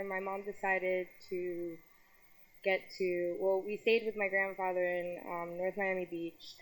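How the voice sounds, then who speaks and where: A young woman originally from Colombia and raised in New York, chatting openly as an interview guest. She laughs often and swears without a second thought. Her pitch sits in an ordinary middle range. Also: poor audio. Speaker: interview guest, music figure. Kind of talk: casual interview chat.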